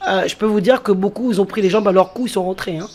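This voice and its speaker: A woman's voice: mid-range voice, fast talker, darkish tone. A woman who grew up in France, talking as a guest in an interview and explaining things step by step, repeating key phrases for emphasis. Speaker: entrepreneur guest. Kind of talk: interview talk.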